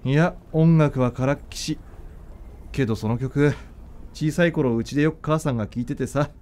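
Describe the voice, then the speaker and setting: A man playing an arrogant, bitter young doctor in a radio drama, speaking in a rough, curt, casual way. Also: mid-range voice, normal speed, a little mellow, natural voice. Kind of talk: radio drama acting with inner narration.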